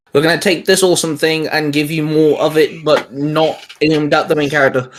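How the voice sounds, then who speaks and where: A man co-hosting a casual fan discussion, talking in a rambling, informal, jokey way. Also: mid-range voice. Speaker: co-host of a cartoon fan channel. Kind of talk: casual chat, fan commentary.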